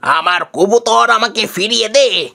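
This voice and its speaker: A man's voice: high-pitched, a little slow, gravelly, pushed and bright, heavily acted. A man playing a blustering, confrontational village neighbour in a cartoon story. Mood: accusing, demanding. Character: blustering male village neighbour, accusing and demanding. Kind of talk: Bengali cartoon story voice acting.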